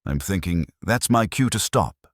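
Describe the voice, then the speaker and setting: Guy reading a wry watchful detective sidekick, really deep voice, clipped hardboiled lines, audiobook. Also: expressive, normal speed, dry recording, clean studio sound. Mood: brisk, reporting. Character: brooding, curt male detective's assistant, wry and watchful.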